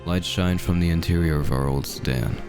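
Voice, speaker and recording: A man narrating a scripted horror story in the first person, with a measured, ominous, dramatic delivery. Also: low-pitched. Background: dark background music.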